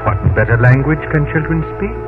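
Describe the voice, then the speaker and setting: An actor playing a gentle, philosophical doctor in a 1950s radio drama, speaking in measured, formal phrases. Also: male voice, on the high side, expressive, lightly acted. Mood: gently wise. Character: gentle, philosophical European doctor arranging adoptions. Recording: tinny old radio broadcast, background music.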